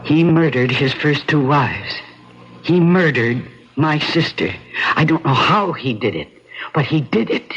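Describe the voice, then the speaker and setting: A woman's voice: low voice, expressive, unhurried, husky, heavily acted. An actress playing a strong-willed, suspicious woman in a vintage mystery radio drama, clipped and insistent. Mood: determined. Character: strong-willed, suspicious fashion designer woman, accusing and stubborn. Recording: dull, lo-fi old radio recording.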